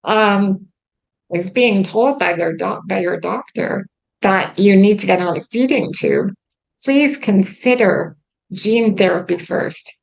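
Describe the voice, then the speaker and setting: Woman, host, gravelly creak, earnest, chatty, lots of ums. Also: medium pitch, takes it slowly, low-quality recording. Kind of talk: casual chat about her treatment. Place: lives in Quebec.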